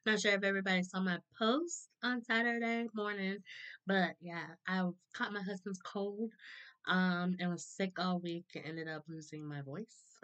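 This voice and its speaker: A woman in her thirties with a hoarse, sore throat, chatting casually to a webcam, laughing often and clearing her throat. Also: medium pitch, steady tone, moderate pace, fairly bright tone. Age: 30s. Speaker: vlogger. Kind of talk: casual chat to camera. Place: small room indoors.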